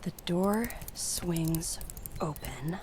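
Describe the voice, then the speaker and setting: Woman as hushed, slow young film storyteller, noisy. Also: lowish voice, lively intonation. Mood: dreamy, suspenseful. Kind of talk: dramatic scene description in a play.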